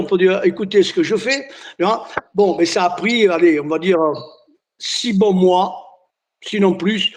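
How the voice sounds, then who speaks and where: An older man born near Naples whose first language is Italian, a singer telling stories in a relaxed interview, with many restarts. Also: sits high. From Italy. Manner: chatty storyteller, many restarts and "hein". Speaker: singer and entertainer, guest. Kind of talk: casual interview chat.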